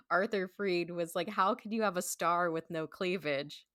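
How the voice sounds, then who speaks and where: A woman speaking as a guest in casual conversation, with informal, halting phrasing and frequent asides. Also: on the low side, a bit bright, no echo, clean studio sound. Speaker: guest, stage performer.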